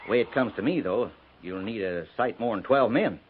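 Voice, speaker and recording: An American actor playing a lonely old frontier horse keeper in a 1950s radio western, talking in a folksy, rambling way. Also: male voice, lowish voice, expressive, normal speed, close to an everyday voice. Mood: curious, skeptical. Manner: folksy, rambling, hesitant drawl. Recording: noisy, tinny 1950s radio recording.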